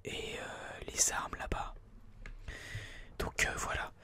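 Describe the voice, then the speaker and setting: A person whispering informal, explanatory ASMR gameplay commentary in a breathy, unvoiced voice, a little hesitant, close on a binaural mic. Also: slow, pushed and bright. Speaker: ASMR gaming video host. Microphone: close binaural microphone.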